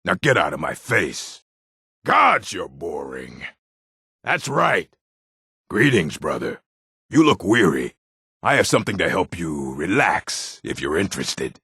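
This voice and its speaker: A burly, surly video game orc, speaks bluntly and with menace. The voice is extremely deep and husky, full of gravel, in a dry close recording. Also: male voice, sing-song, measured pace, pushed and bright, dry recording, heavily acted. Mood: contemptuous, then sly. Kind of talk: scripted video game dialogue.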